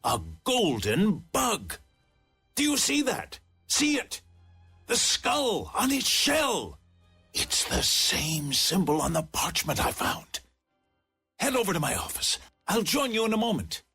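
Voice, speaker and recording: A man playing an excitable, obsessed treasure seeker in an adventure game, with a rough, husky voice and an urgent, eager manner. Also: high-pitched, slow pace, soft background music, exaggerated voice. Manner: breathlessly eager, intense, urgent. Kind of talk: scripted adventure game dialogue.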